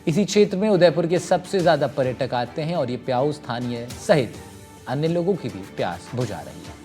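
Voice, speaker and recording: A man's voice: on the high side, animated, fairly quick, mellow, faint music behind. Man reading a formal news introduction. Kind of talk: scripted news narration.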